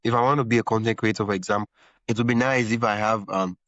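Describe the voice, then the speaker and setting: Low-voiced man hosting, slightly muffled. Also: fairly even intonation, fast talker, fairly bright tone, no echo. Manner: thinks aloud at length, rambling follow-ups. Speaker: podcast host. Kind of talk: conversational interview.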